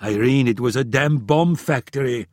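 A man's voice: medium pitch, normal speed, darkish tone, dry recording, clean studio sound, close to an everyday voice. A man voicing a blunt, combative Israeli intelligence chief in an audiobook, exasperated and emphatic in manner. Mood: angry, insistent.

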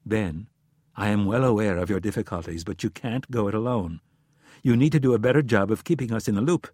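A man's voice: fairly low pitch, brisk, darkish tone, no room sound, studio quality, lightly acted. A man voicing a composed, shrewd female American intelligence director in an audiobook, with a neutral, controlled manner. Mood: calm, pressing.

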